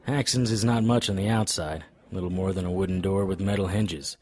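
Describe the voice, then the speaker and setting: A man's voice: deep voice, steady tone, fairly quick, darkish tone. A man playing a wry, world-weary paranormal investigator in an audio drama, narrating and talking with dry wisecracks. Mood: brooding narration. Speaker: lead character and narrator.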